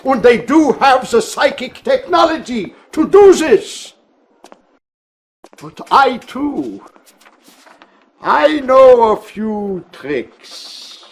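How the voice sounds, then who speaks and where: High-voiced, slow old scientist. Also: male voice, big pitch swings, exaggerated voice. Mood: earnest, solemn. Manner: halting, deliberate, with repeated words. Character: elderly earnest scientist, gentle and halting. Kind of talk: dramatic game cutscene acting.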